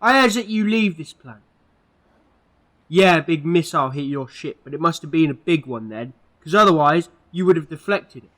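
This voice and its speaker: An actor playing an earnest, authoritative peacemaking hero in a sci-fi audio drama, urgent and admonishing. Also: male voice, a little high, expressive, normal speed, fairly bright tone. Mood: stern, reasoning.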